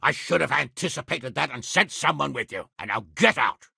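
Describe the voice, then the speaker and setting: A man playing a blunt, hot-tempered middle-aged medieval lord in a video game, with a raspy, gravelly voice and an authoritative manner. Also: medium pitch, expressive, normal speed, sharp tone, no echo, studio quality, heavily acted. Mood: exasperated. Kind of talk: video game character acting.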